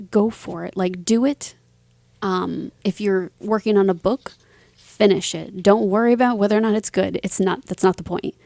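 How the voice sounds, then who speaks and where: A woman's voice: medium pitch, creaky, warm tone, no room sound. A woman speaking casually as an interview guest, with informal phrasing and emphatic words. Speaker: interview guest, writer and performer.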